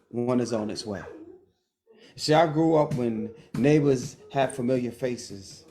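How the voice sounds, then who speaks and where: A man in his fifties performing a spoken word poem with a measured, rhythmic delivery, slowing down to stress key phrases. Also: mid-range voice, warm tone. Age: 50s.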